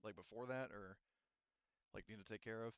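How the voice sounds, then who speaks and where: A man's voice: on the low side, normal speed, clear, resonant tone, no echo, lo-fi sound. A man running a tabletop game as game master in casual chat, rambling and correcting himself as he goes.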